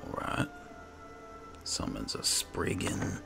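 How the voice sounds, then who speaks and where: A man giving roleplay game commentary and reading item text aloud, muttering to himself in an unhurried way. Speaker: gaming video host. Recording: game music behind voice. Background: video game music.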